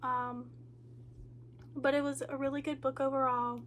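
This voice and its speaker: A woman's voice: high voice, flat delivery, unhurried, fairly bright tone, a little hiss or hum. A woman with a nasal, congested voice from a cold, sounding tired and drained, chatting casually in a reading update vlog with lots of ums and likes. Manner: rambling with many ums and likes. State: tired and low on energy. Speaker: booktuber.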